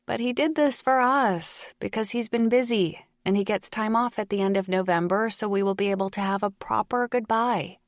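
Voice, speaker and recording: A woman's voice: mid-range voice, moderate pace, hardly any pauses, no room sound, clean studio sound, natural voice. A woman voicing a dramatic, gushing young adult daughter in an audiobook, with an emphatic, youthful delivery. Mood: sheepish, hurried. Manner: whiny, enthusiastic, emphatic. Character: dramatic, gushing college-age daughter on the phone.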